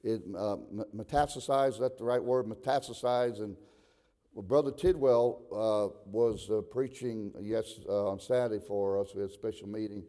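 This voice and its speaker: A man's voice: lowish voice, moderate pace, mellow. A man in his sixties preaching a sermon, moving between conversational talk and emphatic exhortation, repeating phrases for emphasis. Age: 60s. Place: large reverberant room, preaching to a congregation. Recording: reverberant hall sound.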